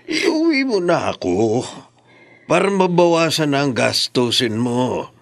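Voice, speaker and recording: An actor playing an ailing, gentle older father in a Filipino radio drama, tender and earnest. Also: a little high, sing-song, measured pace, creaky, dry recording, exaggerated voice. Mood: tender, reassuring.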